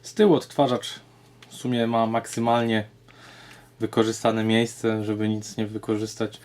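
A man's voice: on the low side, little pitch movement, unhurried, a little mellow. A man hosts a casual showcase of audio gear, explaining in short, unscripted, somewhat hesitant phrases. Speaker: host of an audio gear repair channel. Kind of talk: casual product showcase vlog.

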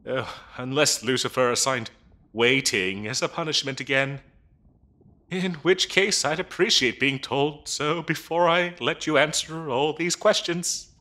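A man's voice: on the high side, unhurried, bright, forceful tone. A man playing a fussy, bureaucratic demon interviewer in a comedic audio roleplay, officious and chatty with hesitant, dryly polite phrasing. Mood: amused, businesslike. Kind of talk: comedic audio roleplay acting, addressing the listener.

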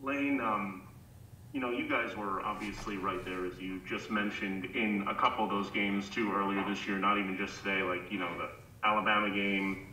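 Reporter off-mic, low bright voice, hesitant, echoey room from a distance. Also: male voice, fairly even intonation. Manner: halting, filler-heavy questioning. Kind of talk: press conference question. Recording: echoey room from far off, faint hiss.

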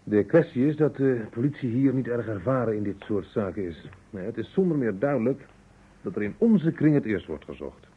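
A man's voice: medium pitch, big pitch swings, a little fast, slightly rough. An actor playing a sober, matter-of-fact man in a vintage radio play, blunt and level-headed. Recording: muffled phone-like old radio recording.

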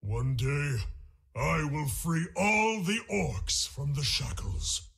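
Fierce game orc warrior, guttural, very slow, wildly swinging pitch, honor-bound, declamatory. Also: male voice, big pitch swings, fairly bright tone, exaggerated voice. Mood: solemn, proud. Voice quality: gravelly, guttural. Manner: declamatory, slow battle cries. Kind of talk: video game character voice lines.

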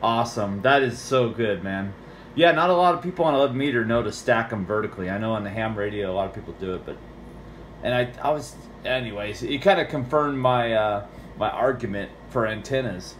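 A man's voice: fairly low pitch, brisk, a bit bright. An American man chatting casually and at rambling length about technical matters as a radio operator. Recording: roomy, with background radio noise.

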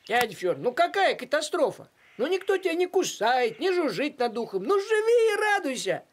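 An actor voicing a grumpy, stubborn male house cat in an animated series, grumbling indignantly. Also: high-pitched, moderate pace. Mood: dismissive. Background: cartoon music.

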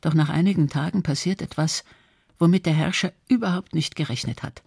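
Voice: mid-range voice, fairly quick, gravelly, darkish tone, husky, dry recording, clean studio sound. A narrator reading a scripted historical documentary.

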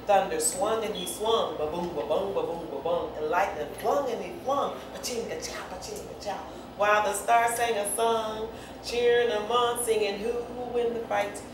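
A middle-aged woman reading and chatting to children in an expressive, playful, teasing way, like an author sharing her poem. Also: mid-range voice, moderate pace. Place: bare indoor room with office chairs. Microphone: far camera microphone. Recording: echoey room, far mic, noisy.

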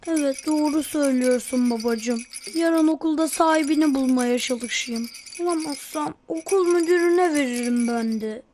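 Cartoon schoolboy, played by a woman: very high childlike voice, polite and eager. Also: moderate pace, hardly any pauses, darkish tone, faint music behind, natural voice. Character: cheerful, eager, well-mannered schoolboy son.

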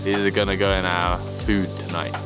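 Low-voiced young vlogger man over music. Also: level tone, brisk. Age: 20s. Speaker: vlog host. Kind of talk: casual vlog talk and narration. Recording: music behind the voice.

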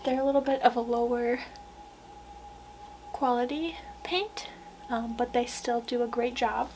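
Slow, high-voiced young woman speaking ASMR softly. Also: fairly even intonation, slight background noise. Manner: hushed, gentle, often slipping into whispers. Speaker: ASMR roleplayer playing a portrait painter. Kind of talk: soft-spoken ASMR roleplay. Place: small wood-panelled room indoors.

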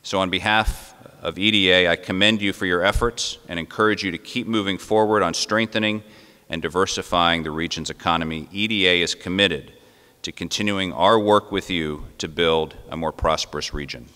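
Middle-aged male official, very deep and forceful, formal prepared remarks in a reverberant hall. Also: normal speed. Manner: formal, reading from notes. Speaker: federal economic development official. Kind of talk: prepared speech read aloud. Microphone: podium microphone.

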